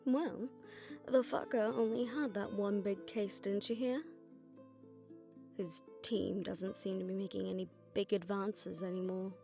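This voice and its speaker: A woman's voice: mid-range voice, steady tone, a little slow, creaky, mellow, soft background music. A woman playing a jaded, sardonic witch detective who sees visions of death, talking conversationally to a partner in a roleplay audio drama. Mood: scornful, sarcastic.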